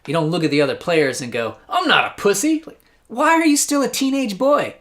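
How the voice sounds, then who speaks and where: A man's voice: high-pitched, animated, brisk, a bit bright. An American man hosting a satirical news show, delivering scripted comedic commentary in a sarcastic, mock-incredulous way.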